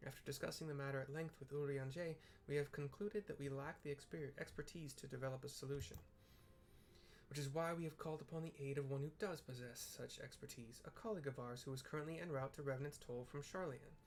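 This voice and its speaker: A man voicing an earnest woman leader in a fantasy game, with formal, measured, archaic phrasing. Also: mid-range voice, steady tone, slight background noise, natural voice. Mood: grave, resolute.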